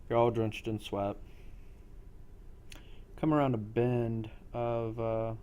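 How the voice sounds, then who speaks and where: A man working as game master, narrating a role play game in a halting way with pauses between phrases. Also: mid-range voice, little pitch movement, mellow, a little hiss or hum.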